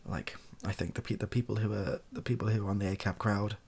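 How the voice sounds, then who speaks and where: A soft-spoken British man gives an improvised monologue in a low, husky voice with plenty of fry. He rambles and hesitates as he works through his opinions, circling back and qualifying himself. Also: steady tone, fast. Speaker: online video creator.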